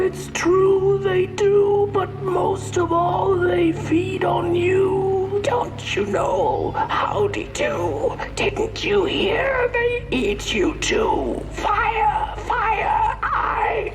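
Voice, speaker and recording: A woman's voice: very high-pitched, animated, extremely slow, full character voice. A woman voicing a small, eerie game creature that chants taunting warnings in a sing-song way. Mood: wailing warning. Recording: distant voice under music.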